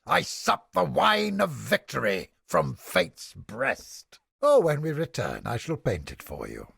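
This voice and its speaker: A man playing a cynical, sardonic, smugly godless inquisitor scholar in a video game, with a gravelly, husky voice and deliberate, formal, theatrical delivery. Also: medium pitch, wildly swooping pitch, a bit bright, no room sound, clean studio sound, full character voice. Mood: relishing, nostalgic. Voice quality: gravelly, husky, breathy edge.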